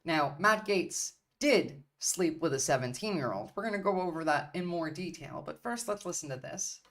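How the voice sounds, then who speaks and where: A woman's voice: fairly low pitch, expressive, normal speed. A woman from Turkey giving blunt, profane livestream commentary on politics, repeating her points for emphasis. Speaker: streamer.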